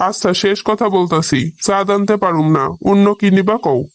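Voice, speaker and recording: A man's voice: high-pitched, a little fast, no echo, close to an everyday voice. Man playing an exasperated husband, closely dry-recorded. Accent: Bangladeshi. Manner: protesting, exasperated. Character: exasperated, reasoning village husband. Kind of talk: comic cartoon dialogue.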